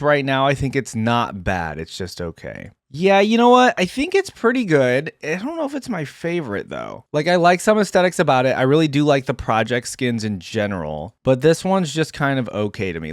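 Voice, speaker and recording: A man's voice: mid-range voice, no room sound, clean studio sound. A man giving casual, off-the-cuff reaction commentary as a video host, rambling informally as he thinks aloud.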